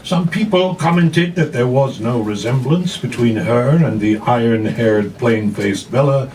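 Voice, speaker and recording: A man giving an expressive, dramatic reading of literary fiction to a live audience in a cafe, with weighted pauses. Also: mid-range voice. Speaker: actor reading a novella aloud. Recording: noisy live room, distant mic.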